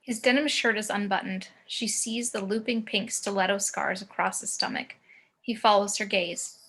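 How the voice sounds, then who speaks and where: A woman's voice: mid-range voice, fairly even intonation, normal speed, fairly bright tone, husky. A woman reading scene descriptions and action lines as the narrator of a screenplay table read.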